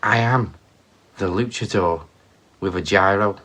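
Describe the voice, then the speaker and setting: A man's voice: sits low, measured pace, voice pushed down, exaggerated voice. A man voicing a brash masked Liverpool luchador with a Scouse accent, cutting a boastful, crude wrestling promo. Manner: boastful, loud, crude trash talk.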